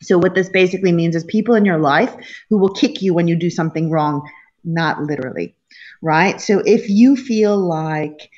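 A woman in her forties, a company chief speaking as a guest on a video call panel, frank and animated, a little self-mocking. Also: lowish voice, normal speed. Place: home room with wooden shutters. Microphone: laptop webcam on video call. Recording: dull lo-fi call audio.

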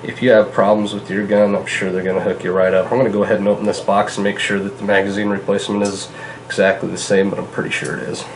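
A man in his thirties with a fairly low voice talks casually to his viewers from a home kitchen, his nose stuffed up from a cold. He rambles in a relaxed way, full of "uh" and "you know", recorded by a camera across the table with a faint hum of room ambience behind him. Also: fast, low-quality recording. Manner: relaxed, chatty, many fillers. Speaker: gun channel host. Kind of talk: casual product vlog.

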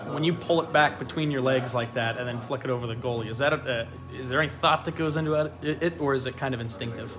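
A man's voice: medium pitch, quick pace, few pauses, over music. A male reporter asking long, wordy questions at a postgame press session.

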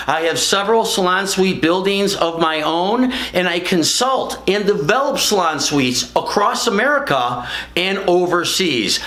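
An older man pitches with bright, forceful energy and a high, swooping voice. He sounds emphatic and salesy, as if talking straight to camera in an echoey studio room. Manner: emphatic, salesy, animated hand gestures. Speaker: franchise company founder. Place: indoor studio with plain gray backdrop.

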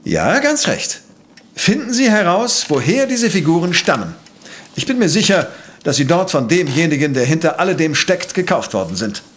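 An actor playing a rational professor detective in an audio drama, speaking precisely and emphatically in a dismissive, pedantic way. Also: male voice, on the high side, big pitch swings, normal speed. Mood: businesslike, commanding. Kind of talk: German audio drama acting.

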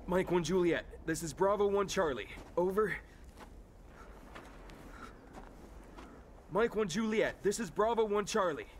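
Game role: earnest young infantryman, man acting, worried, raised pitch, light background noise. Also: normal speed, close to an everyday voice. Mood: anxious, urgent. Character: earnest, anxious young male army private, lost.

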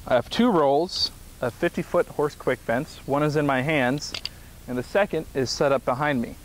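A man's voice: a little high, normal speed, a little hiss or hum, low-quality recording. Man giving outdoor step-by-step instructions. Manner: plain instructional, paced in short phrases while working. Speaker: product demonstrator. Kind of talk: step-by-step how-to demonstration. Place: grassy field outdoors by a trailer.

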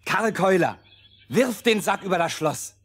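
An actor playing a resolute soldier who leads a band of misfits in a fairy-tale radio play. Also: male voice, high-pitched, expressive, measured pace, a bit bright, breathy edge, heavily acted. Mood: commanding.

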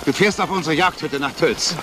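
An actor playing a protective, take-charge son in a German crime audio drama, urgent yet controlled. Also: male voice, on the high side, brisk, noisy background, close to an everyday voice. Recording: loud music and rain under dialogue.

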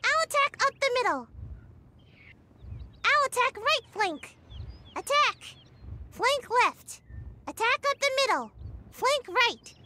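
A woman's voice: very high voice, big pitch swings, takes it slowly, long pauses, pushed and bright, slight background noise, exaggerated voice. A woman voicing a cute, cheeky young fox-girl champion in a video game, with perky, playful short lines. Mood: brisk, commanding.

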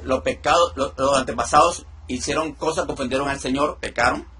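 A middle-aged man leading a deliverance session over a video call, giving calm commands and explaining each step. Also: mid-range voice, a little fast. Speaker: deliverance minister. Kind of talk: ministry session with teaching asides. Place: indoor room.

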